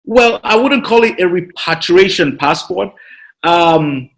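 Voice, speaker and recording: A man's voice: high-pitched, animated, slow pace. A man, a podcast guest giving earnest, explanatory answers that build to passionate appeals. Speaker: podcast guest, citizenship consultant. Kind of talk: conversational interview.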